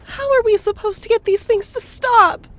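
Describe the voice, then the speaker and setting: A man's voice: very high voice, normal speed, bright, forceful tone, voice pushed up. A man voicing a panicked young female elf trainee in an audiobook. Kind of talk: audiobook character voice.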